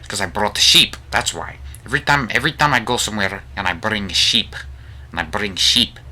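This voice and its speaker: A man putting on a Russian accent as a deadpan horse character, rambling and repeating himself in an improvised comic monologue. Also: fairly low pitch, brisk, voice pushed up. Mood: mock-boastful. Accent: put-on Russian. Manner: rambling, repetitive, mock-serious.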